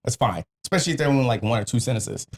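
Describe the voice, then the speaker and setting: An American man chatting casually in a blunt, opinionated, slangy way, with a husky voice. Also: mid-range voice, animated, rapid-fire. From Northern Virginia and Washington DC area, United States. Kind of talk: casual chat.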